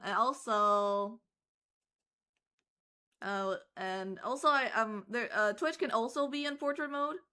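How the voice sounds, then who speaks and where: A woman streamer chatting casually while she draws, her voice hoarse and worn out, rambling with lots of fillers and restarts. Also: medium pitch, sharp tone.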